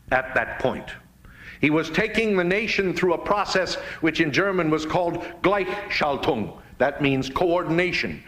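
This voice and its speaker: A middle-aged American man preaches with solemn, emphatic oratory in a fairly high voice. His storytelling is deliberate and dramatic, building rhetorically phrase by phrase. The recording is roomy and echoing. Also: fairly bright tone. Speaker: preacher giving a speech.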